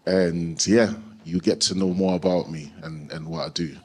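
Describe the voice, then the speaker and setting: A man's voice: low-pitched, fairly even intonation, moderate pace, darkish tone, breathy edge. A man born in Germany, a life coach on a panel, talking confidently with a joking edge.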